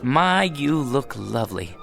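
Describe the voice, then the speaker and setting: An actor playing a weary, devoted, long-suffering husband in a radio drama, earnest and wry. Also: male voice, mid-range voice, big pitch swings, a little slow, vocal fry, a bit bright, faint music behind, heavily acted. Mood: tender, hopeful.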